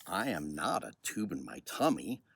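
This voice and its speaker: An older man with a husky voice, speaking expressively and without hurry like a children's author telling a story. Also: low voice, big pitch swings, normal speed, a bit bright. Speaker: children's book author reading aloud. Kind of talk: personal commentary and storybook reading.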